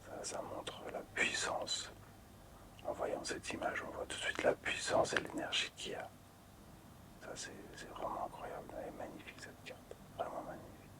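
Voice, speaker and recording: A man hosting casual ASMR in a breathy, unvoiced whisper, hushed, hesitant and appreciative. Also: normal speed. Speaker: ASMR video host.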